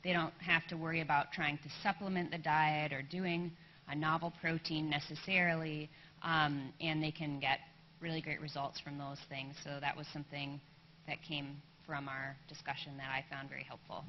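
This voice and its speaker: A woman in the audience giving a halting comment with many fillers. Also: low voice, flat delivery. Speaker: audience member. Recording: muffled hall audio with faint noise.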